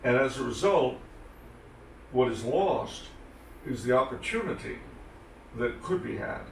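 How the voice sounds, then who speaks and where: A man's voice: mid-range voice, animated, measured pace, vocal fry. A man whose first language is French, giving dry, sarcastic, wide-ranging answers as an interview guest. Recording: echoey room, distant mic, faint noise.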